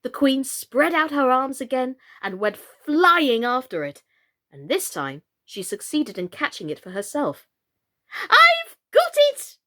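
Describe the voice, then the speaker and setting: A woman giving an expressive scripted reading of a story for children. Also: high voice, unhurried. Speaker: storybook reader, actress.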